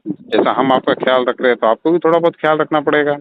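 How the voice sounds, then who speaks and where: A man's voice: on the high side, fast talker, background noise, close to an everyday voice. A man playing an insinuating landlord in his forties on a phone call, talking in a hesitant, repetitive way. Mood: coaxing, suggestive. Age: 40s. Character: insinuating middle-aged landlord pressing tenant for rent. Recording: tinny narrowband phone line.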